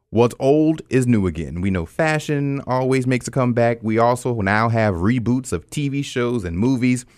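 A man's voice: fairly low pitch, dry recording, clean studio sound. An American man hosting a radio show, presenting in a conversational way and speaking directly to listeners. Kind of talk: scripted announcements and interview hosting.

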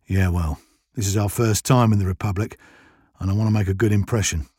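Man playing an earnest, husky detective sergeant. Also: fairly low pitch, fairly even intonation, brisk, a little mellow, no room sound, clean studio sound. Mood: grim. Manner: polite, wry. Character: earnest, wry English male detective sergeant.

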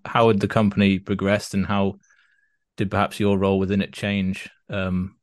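A man's voice: sits low, monotone, moderate pace, dry recording, studio quality. A man hosting a casual interview, feeling his way tentatively through questions and rephrasing as he goes. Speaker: podcast host, interviewer.

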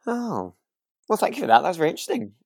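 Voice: medium pitch, big pitch swings, fast, gravelly, a little mellow, no room sound, studio quality. A podcast co-host chatting casually and agreeably, tentatively thinking aloud. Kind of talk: casual chat.

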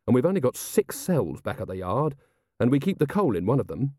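Watch commander, acted by a man, gruff, sardonic, blunt, defiant, animated delivery, dry close audiobook sound. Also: mid-range voice, a little fast, no echo, pitched above the performer's own voice. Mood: incredulous.

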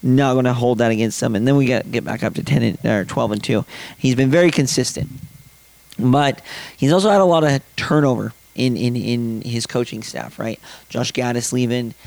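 A young man hosts a casual sports podcast in a slightly raspy voice, his throat worn from late nights. He rambles through his thoughts, full of fillers and self-corrections, at an everyday middle pitch. The recording is dry and close, with no room sound. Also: moderate pace, a little mellow, no room sound. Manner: rambling, many fillers and restarts. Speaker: podcast host.

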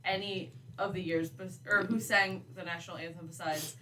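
A woman's voice: fairly low pitch, fairly even intonation, normal speed, pushed and bright, slight background noise, low-quality recording. A woman in her twenties co-hosting a podcast in casual chat, informal, emphatic and self-deprecating. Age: 20s. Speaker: podcast co-host.

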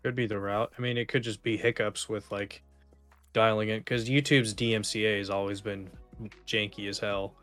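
A man with a somewhat low voice co-hosts a casual gaming podcast. He explains things informally and loosely, swearing freely. Quiet music plays behind him. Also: fairly even intonation, moderate pace. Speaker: podcast co-host.

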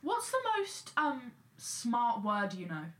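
A young woman chatting casually with friends, animated, dramatic and free with swearing. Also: fairly high pitch, takes it slowly. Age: young adult. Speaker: podcast host. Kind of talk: casual group chat.

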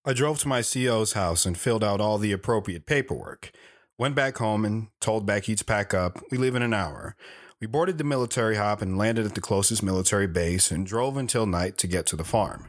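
A man plays a weary young soldier in a dramatic audio drama. His voice sits on the low side, and he speaks plainly and with restraint, recounting his own life. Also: a little fast, lightly acted. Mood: reflective, somber. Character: Travis, weary young soldier husband and father narrating his past. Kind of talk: dramatic first-person audio drama narration and dialogue.

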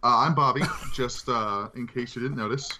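A man's voice: medium pitch, steady tone, moderate pace, pushed and bright, a little hiss or hum. A man co-hosting a podcast in casual chat, joking and self-deprecating. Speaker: podcast co-host.

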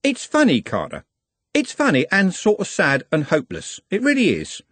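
A cocky young lad struts through this storybook scene, as a male reader puts him on. He talks high and boastful, with big swoops of pitch. A husky, dry close recording. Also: wildly swooping pitch, normal speed, a bit bright, no echo, full character voice.

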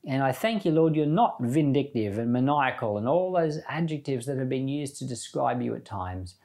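A man's voice: medium pitch, animated, moderate pace, creaky, a little mellow. A man speaking as a Bible teacher, giving informal commentary and prayer in an earnest, conversational way with emphatic repetition.

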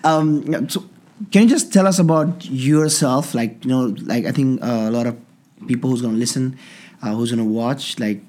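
A man's voice: mid-range voice, moderate pace, a little mellow. A man hosting a casual podcast, asking loose, rambling questions full of fillers. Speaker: podcast host.

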